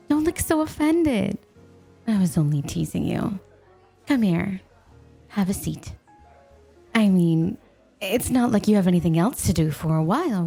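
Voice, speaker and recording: A woman's voice: mid-range voice, dark tone, husky, exaggerated voice. A woman playing a playful, possessive snow spirit in an ASMR roleplay, coaxing and teasing the listener with coy, intimate talk. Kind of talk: ASMR roleplay, scripted, intimate one-sided dialogue.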